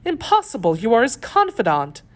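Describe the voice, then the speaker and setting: Woman acting a melodramatic, high-pitched pleading noblewoman. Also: wildly swooping pitch, normal speed. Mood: shocked. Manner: melodramatic, imploring. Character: scheming noblewoman prisoner feigning pious despair. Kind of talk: dramatic audiobook character reading.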